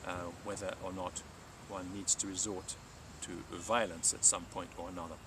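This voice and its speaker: A man's voice: deep voice, little pitch movement, moderate pace, distant mic, noisy background. A man speaking as a teacher hosting a live stream, reading aloud and talking informally.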